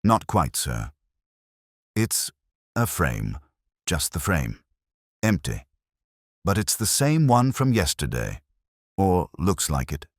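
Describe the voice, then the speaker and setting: A man's voice: sits low, big pitch swings, slow, long pauses, no echo, clean studio sound, full character voice. A man playing a deferential police constable in a mystery story, reporting in a respectful, hesitant way.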